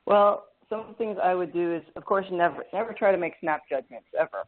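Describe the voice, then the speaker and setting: A man with a fairly high voice for a man, speaking as a guest hypnotherapist in a remote interview and explaining things in a measured, thoughtful way. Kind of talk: interview over a remote call. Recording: phone-like call line with static.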